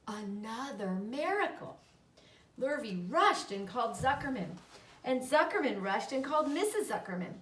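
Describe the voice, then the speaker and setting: A woman reading a children's story aloud as narrator. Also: mid-range voice. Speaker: storybook reader. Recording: roomy echo, slightly distant mic.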